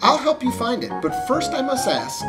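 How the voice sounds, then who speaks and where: A man's voice: very high-pitched, very animated, moderate pace, voice pushed up. A man voicing a prim, bold woman librarian in a storybook, firm and scolding. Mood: bossy. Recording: music playing behind the voice.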